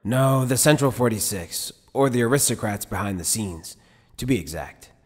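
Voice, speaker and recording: A man playing an easygoing but shrewd middle-aged head captain in an anime fan dub, speaking in a measured, wry, casual way. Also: mid-range voice, expressive, husky. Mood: unhurried. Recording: long hall reverb.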